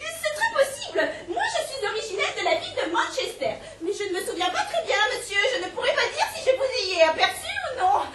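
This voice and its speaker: Girl doing a very high singsongy english lady, giggly and amazed, far off in an echoey room, super hissy. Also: sing-song, quick pace, hardly any pauses. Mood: giddy astonished. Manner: sing-song, giggling, exaggerated surprise. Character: polite bewildered English lady, Madame Martin. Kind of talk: amateur stage acting. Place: theatre stage. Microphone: distant room mic. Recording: echoey live stage recording from far off with loud hiss.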